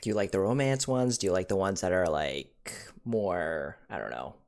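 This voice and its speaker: A woman's voice: very deep voice, animated, moderate pace, a little mellow. A woman hosting a casual interview, chatty and easygoing in her questions. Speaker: interview host.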